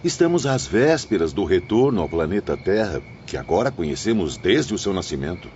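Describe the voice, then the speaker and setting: A thoughtful spirit student in an audiobook drama, acted by a man, with a low and expressive voice. He speaks formally and ponders among friends. The sound is slightly muffled, with crickets in the night behind him. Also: fairly quick, slight background noise. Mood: pondering.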